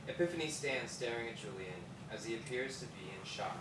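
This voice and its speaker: A man reads screen directions as narrator at a table read. His voice is mid-pitched. It sounds distant and echoey, with loud noise around it. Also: expressive, brisk. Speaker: narrator reading stage directions.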